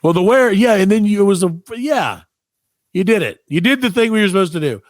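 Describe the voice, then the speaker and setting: Lively, animated man hosting a podcast. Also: high voice, a little fast, no echo, studio quality. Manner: playfully argumentative. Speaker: podcast host. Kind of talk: casual comedic banter.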